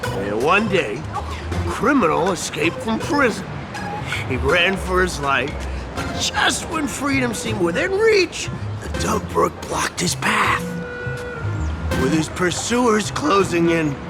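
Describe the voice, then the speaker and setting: A woman's voice: on the low side, wildly swooping pitch, takes it slowly, heavily acted. A woman playing a folksy, showy street joke-teller in a video game, with a theatrical storytelling delivery. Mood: dramatic, teasing. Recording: loud music over the voice.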